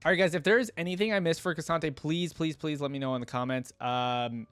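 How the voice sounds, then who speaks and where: A man's voice: fairly high pitch, fairly even intonation, fairly quick, a bit bright, clear, resonant tone, dry recording, soft background music. A man hosting a reaction video, giving casual, rambling conversational commentary.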